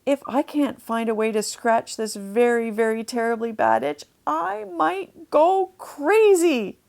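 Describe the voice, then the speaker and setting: A woman voicing a gentle young male manatee in a children's storybook, pleading plaintively about a bad itch. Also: fairly high pitch, a little slow, creaky. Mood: exasperated.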